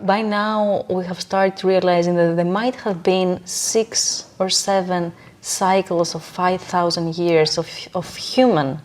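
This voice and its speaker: A woman talks as a guest in a casual interview. Her voice sits a little low for a woman. She explains her points with emphasis and states her opinions plainly. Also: steady tone, takes it slowly, hardly any pauses. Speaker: podcast guest.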